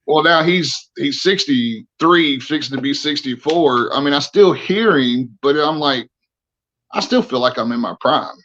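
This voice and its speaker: A man's voice: a little high, a little slow, fairly bright tone, muffled recording, slight background noise. A man in his forties, an army veteran guest on a podcast, talking candidly and earnestly with many restarts. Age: 40s.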